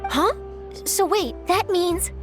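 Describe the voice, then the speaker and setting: Young lady reporter, high voice, lively intonation, polite, earnest, inquisitive, game character. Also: takes it slowly, exaggerated voice. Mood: surprised, sympathetic. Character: polite, keen young female journalist. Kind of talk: video game voice acting. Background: game music.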